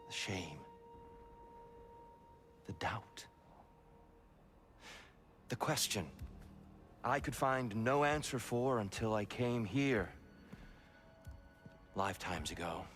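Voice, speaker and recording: Game role: wise warrior mentor, calm, earnest, deep voice, slow measured pacing. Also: male voice, over music. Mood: knowing, reflective. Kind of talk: cinematic game acting.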